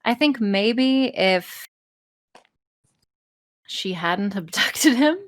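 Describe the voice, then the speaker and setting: Woman podcast guest just chatting, swears a lot, self-deprecating, mid pitch, really dry sound. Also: drawn-out words, no room sound. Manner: sweary, blunt, self-deprecating, animated storytelling with acted-out asides. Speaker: podcast guest, audio voice performer. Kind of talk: casual interview chat.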